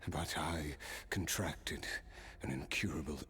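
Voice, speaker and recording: A weary, dying noble warrior from a video game, speaks in an extremely low voice. His delivery is slow, solemn and halting, with formal phrasing. Faint noise sits behind him. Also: fairly bright tone, heavily acted. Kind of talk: scripted video game story dialogue.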